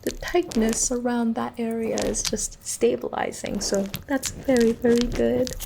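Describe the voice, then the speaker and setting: A woman plays a female entity examiner in an ASMR horror roleplay, with a high, slightly creaky voice. She instructs softly and soothingly, slipping into whispers, gently unsettling in her reassurance. The close microphone picks up some background noise. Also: fairly even intonation, a little slow. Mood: reassuring, attentive.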